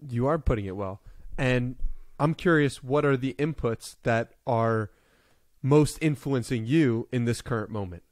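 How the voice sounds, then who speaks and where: Young male host, mid-pitched, curious and laughing, dry close-mic sound. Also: a little slow, a little mellow, dry recording. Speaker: podcast host. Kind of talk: casual interview chat. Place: home room with bookshelves, indoors. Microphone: large studio mic up close.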